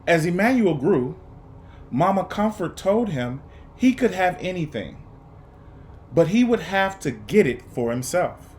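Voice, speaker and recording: Animated man reading a children's storybook aloud. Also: high voice, a little slow, slight background noise. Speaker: storybook reader. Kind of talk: children's picture book read-aloud.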